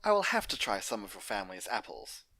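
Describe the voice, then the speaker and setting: Polite lady pony doctor for a storybook, played by a man, formal and reassuring, with a bright, crisp edge. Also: fairly low pitch, sing-song, fast talker, heavily acted. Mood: courteous. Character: polite, professional female pony doctor in a clinic.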